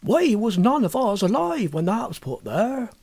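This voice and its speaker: A man voicing a plain-spoken village innkeeper in a storybook, with folksy country speech and a husky voice. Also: high voice, very animated, normal speed, a little mellow, voice pushed up, full character voice. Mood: matter-of-fact. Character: genial rustic male innkeeper, plain-spoken village local.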